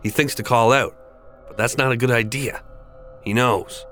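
A man narrating a dark scripted horror story in a measured, deliberate, dramatic way over music. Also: medium pitch, fairly even intonation. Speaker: podcast narrator. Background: background music.

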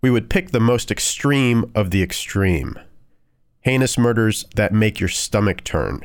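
Low-voiced man narrating dark true crime. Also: expressive, measured pace, no room sound, studio quality. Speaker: podcast host and narrator. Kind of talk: scripted narration of a true-crime horror podcast. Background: music.

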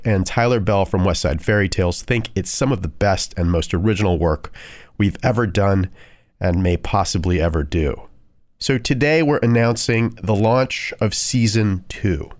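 A man's voice: fairly low pitch, no room sound, studio quality. A man narrating a scripted true-crime horror podcast as its host. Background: music.

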